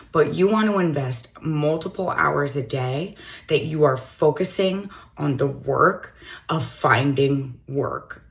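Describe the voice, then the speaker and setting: Woman, middle-aged, low-pitched, slightly hoarse, direct coaching tone. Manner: direct, coaching, matter-of-fact. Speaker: recruiter. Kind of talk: advice monologue to camera.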